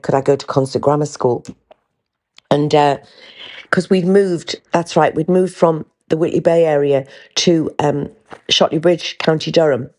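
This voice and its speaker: A woman's voice: deep voice, mellow. An older woman from North East England with a faint Geordie accent, hosting a podcast and chatting candidly with frequent ums and restarts. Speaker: podcast host. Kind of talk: candid casual storytelling.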